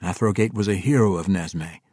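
Calm formal dark elf ranger done by a guy, speaks deliberately, fantasy story, close dry sound. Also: low voice, slightly rough, no echo. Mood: assured. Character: measured, courteous male dark elf ranger. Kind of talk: fantasy audiobook character voice.

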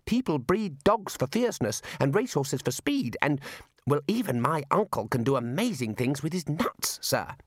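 A man's voice: fairly high pitch, very animated, moderate pace, dry recording, studio quality, pitched above the performer's own voice. A man voicing an earnest young male wizard who speaks politely and carefully.

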